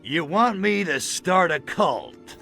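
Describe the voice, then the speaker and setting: A man voicing a cocky, wisecracking little alien invader in a video game, sardonic and slangy with a gravelly voice. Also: fairly high pitch, big pitch swings, slow pace, sharp tone, faint music behind, full character voice. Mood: wry. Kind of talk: video game cutscene acting.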